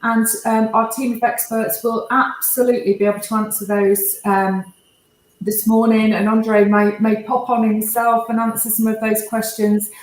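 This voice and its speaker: Woman host, fairly high voice, chatty, keeps saying sort of, echoey room. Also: little pitch movement, moderate pace. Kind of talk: live stream hosting.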